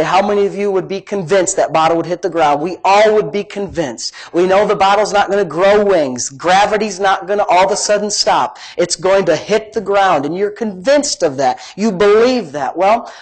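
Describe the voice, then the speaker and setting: High-pitched male preacher with a big voice. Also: rough recording. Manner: emphatic, folksy, repeats phrases for emphasis. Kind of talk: sermon, conversational teaching. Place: live-streamed church service, amplified.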